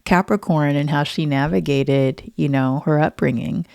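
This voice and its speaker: A woman's voice: sits low, steady tone, normal speed, creaky, dark tone, dry recording, studio quality. A woman hosting an interview podcast, chatting casually, affirming her guest and laughing along. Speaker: podcast host.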